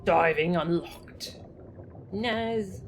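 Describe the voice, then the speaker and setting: A woman streaming a game, chatting casually and reading tutorial text aloud, easily delighted and reacting out loud. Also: fairly low pitch, very slow, faint music behind.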